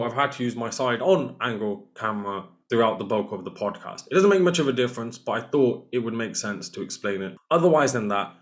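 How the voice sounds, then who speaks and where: A man hosting a podcast, reading an upbeat intro and then asking interview questions. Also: mid-range voice. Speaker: podcast host.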